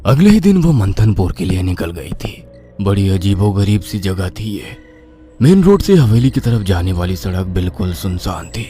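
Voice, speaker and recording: Deep-ish guy telling a spooky story, really animated delivery, music clearly behind. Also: moderate pace. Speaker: story narrator. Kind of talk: dramatic scripted horror narration. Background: prominent music.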